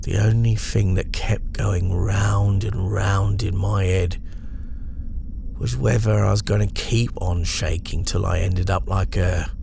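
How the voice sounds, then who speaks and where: A man reading a first-person horror story as a shaken, guilt-ridden survivor haltingly confessing what haunts him. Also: low voice, vocal fry, darkish tone, slight background noise.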